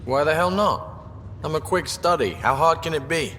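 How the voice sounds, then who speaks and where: A man's voice: fairly high pitch, moderate pace, a bit bright, lightly acted. An actor playing a cheerful, cocky male spaceship crewman in a video game, casual and joking. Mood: playful, scheming. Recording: long reverb, faint ambient noise.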